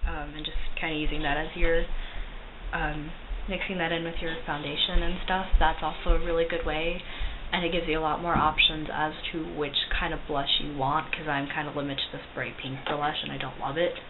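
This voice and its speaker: A woman's voice: sits low, level tone, normal speed. A young woman giving a casual makeup tutorial in a chatty, self-deprecating way, with frequent ums. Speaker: cosplay makeup vlogger. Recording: tinny lo-fi recording with loud hiss.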